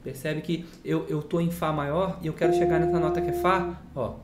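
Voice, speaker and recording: A man's voice: fairly high pitch, flat delivery, moderate pace. A man from Brazil teaching guitar in a casual lesson, explaining with rhetorical questions and a lot of "look here" asides. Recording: roomy sound with guitar playing.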